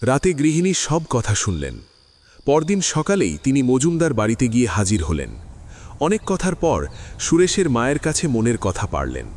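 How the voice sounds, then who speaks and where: A man narrating a classic story in an audio drama, with expressive storytelling. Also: mid-range voice, normal speed.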